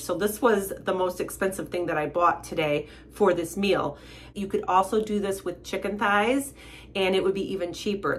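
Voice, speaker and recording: A woman's voice: medium pitch, fairly bright tone. Nasal congested woman vlogging. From United States. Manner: chatty, practical, explains prices step by step. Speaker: keto cooking channel host. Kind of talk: casual home cooking vlog.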